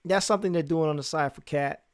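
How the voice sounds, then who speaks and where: A man hosting a relaxed live stream, bantering and teasing with easy laughter. His voice sits a bit higher than most men's. He also chats with viewers and guests while they draw. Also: quick pace, clear, resonant tone. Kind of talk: casual live-stream chat.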